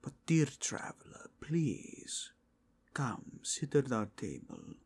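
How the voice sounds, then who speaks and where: Werewolf inquisitor male performer: slow, gravelly half-whisper, mixed Spanish-tinged foreign accent, formal, zealous, intense ASMR roleplay delivery. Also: medium pitch, huge pitch swings, darkish tone, exaggerated voice. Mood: coaxing. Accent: mixed foreign with Spanish touches. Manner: formal, courteous, deliberate, intense. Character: zealous, courtly werewolf inquisitor who sniffs out evil.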